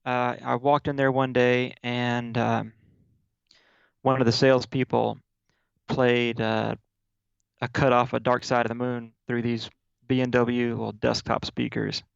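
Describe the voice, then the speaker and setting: A man from Mississippi, a guest in an interview, telling stories in a relaxed way with many fillers over a video call. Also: mid-range voice, measured pace, gravelly, clear, resonant tone, dry recording, muffled recording. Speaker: guest, audio software developer.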